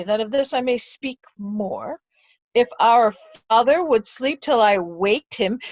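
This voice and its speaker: Woman playing a high-pitched, exclamatory aging earl. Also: dry recording, muffled recording, heavily acted. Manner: theatrical, exclamatory. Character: aging credulous earl, easily outraged father. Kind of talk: Shakespearean drama reading.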